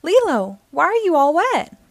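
A strict, exasperated dance teacher from a children's storybook, put on by a woman reader. The voice rises high and sharp in scolding, exclamatory calls. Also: big pitch swings, normal speed, dry recording, studio quality, heavily acted. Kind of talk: storybook read-aloud dialogue.

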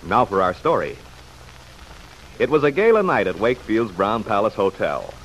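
A man's voice: on the low side, sing-song, normal speed. A male announcer narrating a scripted old-time radio serial in a polished storytelling manner. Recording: noisy vintage radio broadcast.

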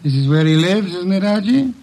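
An imperious radio-drama detective on a vintage recording. His voice sits a little high and is heavily gravelled and rough. He speaks in pompous, formal sentences with blunt authority and dry wit. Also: lively intonation, a little fast, darkish tone, rough recording. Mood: dry, impatient. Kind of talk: 1940s-1950s American radio drama acting.

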